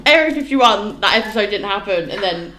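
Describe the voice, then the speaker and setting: A woman chats loudly on her podcast, her voice swooping up and down as she laughs and cuts in. The sound bounces around an echoey room. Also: medium pitch, lively intonation, brisk, a little hiss or hum. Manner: loud, talks over people, laughs often. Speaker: podcast co-host. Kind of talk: casual chat.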